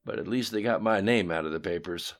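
A man voicing a brash, boastful older male schemer who chuckles and talks in quick, loud bursts with a raspy edge. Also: low voice.

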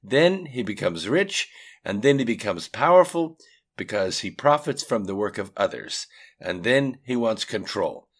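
A man's voice: lowish voice, lively intonation, a little slow, breathy edge, voice pushed up, heavily acted. A man voicing a blunt, frustrated character with a thick Russian accent and broken English. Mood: emphatic.

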